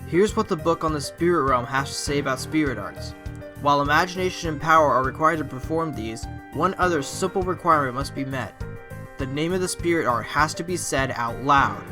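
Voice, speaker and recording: A man acts an earnest fox defence lawyer in a courtroom audio drama. His voice sits a bit high and he argues with deliberate confidence. Music plays clearly underneath. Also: natural voice. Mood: confident, assertive. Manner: deliberate, reasoning aloud.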